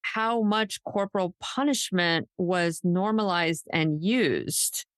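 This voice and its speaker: A woman with a fairly low voice talks as a podcast co-host, in a dry, close recording. Her delivery is conversational and emphatic, speculating out loud and leaning on "like" as she goes. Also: takes it slowly, dry recording. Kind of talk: casual true crime discussion.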